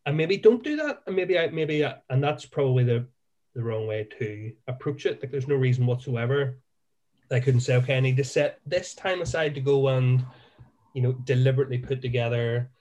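A man's voice: medium pitch, expressive, a little fast, a little mellow, highs cut off. A man with a Northern Irish accent, a minister co-hosting a podcast, talking in a reflective, self-deprecating and rambling way. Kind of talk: casual podcast chat.

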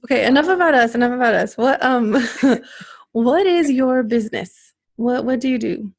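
A woman's voice: on the high side, animated, normal speed, vocal fry. A woman hosting a casual interview, friendly and laughing often as she asks rambling questions. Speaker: interview host.

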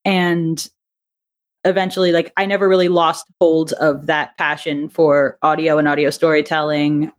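A woman's voice: low-pitched, level tone, moderate pace. A woman chatting casually as an interview guest, rambling a little hesitantly and correcting herself as she goes. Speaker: podcast guest, audio drama creator.

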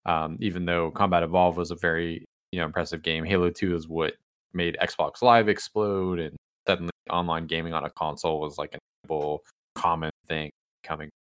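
Deep-voiced man hosting a chat. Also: brisk, clear, resonant tone, no echo. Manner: relaxed, rambling, joking. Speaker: podcast host. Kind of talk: casual chat.

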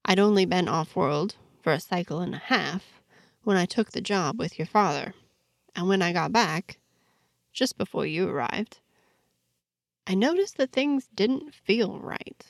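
A mischievous, nonchalant rogue in a fantasy story, played by a woman narrator. He talks with easy teasing and animated pitch, a touch of creak in the voice, recorded dry. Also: unhurried, no room sound. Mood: explaining. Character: mischievous, easygoing man, a roguish pickpocket informant.

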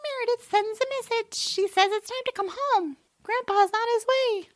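A woman's voice: very high-pitched, normal speed, dry recording, clean studio sound. A woman voicing a perky, blunt little female messenger bird in a family audiobook drama. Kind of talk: audiobook drama character acting.

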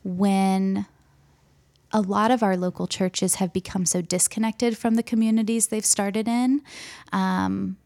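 Soft-spoken young woman, slow and gravelly. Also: mid-range voice, steady tone. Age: young adult. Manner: soft-spoken, gentle, hesitant with many ums. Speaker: pastor. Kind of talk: interview conversation.

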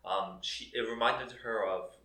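A man's voice: on the low side, unhurried. Laid-back male podcast host, echoey room. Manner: relaxed, steering the talk, laughs easily. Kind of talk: casual podcast hosting. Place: coworking room.

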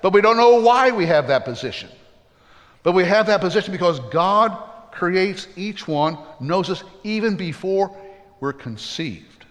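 Man, pastor, sermon, high voice, folksy humor, earnest, long church reverb. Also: lively intonation, a little slow.

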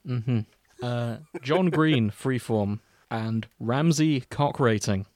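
A male podcast host with a mid-pitched voice moves between casual chat and reading fiction aloud for comedy. The sound is dry and close, with very little room on it. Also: slow, darkish tone, no echo. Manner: breaks into chuckles while reading. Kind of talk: casual banter and comic read-aloud narration.

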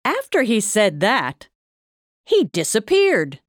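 A woman narrating a futuristic fairy tale in an audio drama, with a measured storybook delivery. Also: medium pitch, wildly swooping pitch, background noise. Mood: storytelling.

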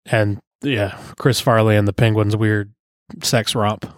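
A man's voice: fairly low pitch, fairly even intonation, no echo, studio quality. A man co-hosting a casual podcast, joking around and trailing off mid-thought. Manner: joking, quick asides, trailing off. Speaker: podcast co-host. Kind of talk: casual banter.

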